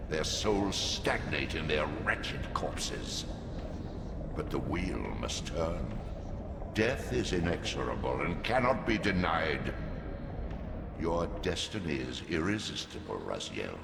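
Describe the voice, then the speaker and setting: A man's voice: low-pitched, creaky, heavily acted. A man voicing an ancient, gigantic, manipulative god for a video game, speaking in grandiose, solemn, commanding pronouncements. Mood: solemn, imperious. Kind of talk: theatrical video game voice acting. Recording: cavernous echo, long reverb, music behind.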